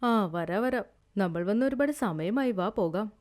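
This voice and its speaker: A woman's voice: mid-range voice, expressive, a little slow, a little mellow, pitched below the performer's own voice, lightly acted. A woman voicing a practical, sceptical elder sister in a village storybook tale, matter-of-fact and scolding.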